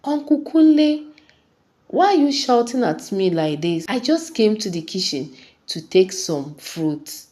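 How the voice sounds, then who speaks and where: A frightened little girl of nine, as a woman storyteller puts her on in a folktale. She is meek and pleading, with slow speech that swings up and down in pitch. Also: very animated, mellow. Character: timid, frightened nine-year-old girl.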